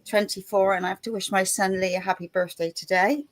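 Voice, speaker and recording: A woman's voice: mid-range voice, steady tone, fairly quick. A woman hosting an online talk show, chatty and rambling, laughing often as she introduces her guest. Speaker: host. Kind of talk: casual chat.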